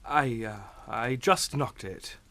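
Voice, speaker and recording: Captain, sharp bright voice. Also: male voice, mid-range voice, dry recording. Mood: nervous, halting. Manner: halting, courteous. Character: polite, uneasy English captain, a reluctant guest. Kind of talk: radio suspense drama acting.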